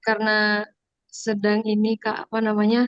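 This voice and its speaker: A woman's voice: mid-range voice, completely flat delivery, normal speed, clean tone, no echo. A young woman sharing her view on a video call, hesitant and full of fillers. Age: young adult. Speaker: online forum participant. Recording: dull dry video call audio.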